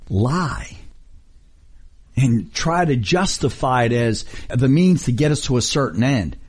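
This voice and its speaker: A middle-aged man preaching a radio sermon, emphatic and full of rhetorical questions; English is not his first language. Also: breathy edge. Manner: emphatic, rhetorical questions, lively swings in pitch.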